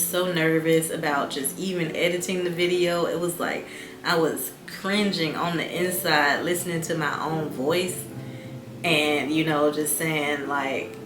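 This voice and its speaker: Young woman vlogger, calm and relaxed delivery, low voice, echoey room over background music. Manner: calm, soft-spoken, reflective. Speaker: YouTuber. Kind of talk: casual reflective vlog.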